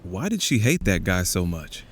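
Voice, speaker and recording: A man's voice: low voice, very animated, moderate pace, a little hiss or hum. A man playing a bitter, sardonic conspiracy believer in an audio drama, talking in an accusing, emphatic way. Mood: probing, sardonic. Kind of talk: audio drama, naturalistic phone conversation.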